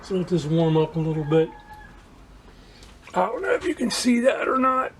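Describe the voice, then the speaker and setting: A man giving a casual hands-on demonstration to viewers as a hobbyist, haltingly searching for words and repeating himself. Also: high voice, expressive, background noise. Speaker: radio restoration hobbyist presenting to viewers.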